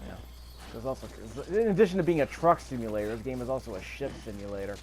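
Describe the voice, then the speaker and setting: A man's voice: mid-range voice, big pitch swings, fast talker, a little mellow, slight background noise. A man giving casual, off-the-cuff gameplay commentary, musing aloud and repeating his phrases. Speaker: gaming video host. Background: faint video game ambience.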